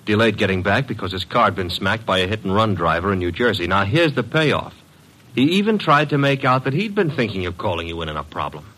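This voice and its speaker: Cocky detective sidekick in old radio show, bright forceful voice, slangy and punchy. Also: male voice, lowish voice, very animated, fairly quick, full character voice, poor audio. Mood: brisk, eager. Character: brash, wisecracking male detective's assistant. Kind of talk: classic radio drama acting.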